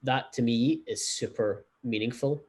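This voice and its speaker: A man with a Scottish Glasgow accent, a startup founder calmly explaining his software in a remote interview, with a few fillers. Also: medium pitch, breathy edge. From Scotland. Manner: measured, explanatory, frequent fillers. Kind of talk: conversational interview.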